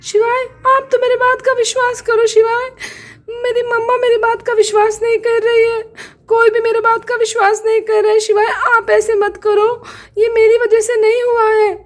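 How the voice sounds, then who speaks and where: A woman's voice: very high-pitched, flat delivery, normal speed, a little mellow. A woman playing a tearful, pleading young wife. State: crying.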